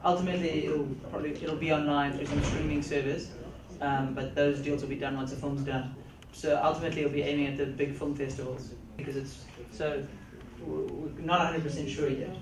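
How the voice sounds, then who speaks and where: A man's voice: on the high side, little pitch movement, brisk, hardly any pauses, a crowd in the background. A man, a filmmaker, answering a question hesitantly with many fillers. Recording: echoey room, noisy, distant mic.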